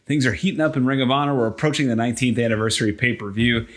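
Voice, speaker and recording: A man's voice: mid-range voice, rapid-fire. A man hosting a podcast in a casual, conversational style. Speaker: podcast host. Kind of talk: casual chat.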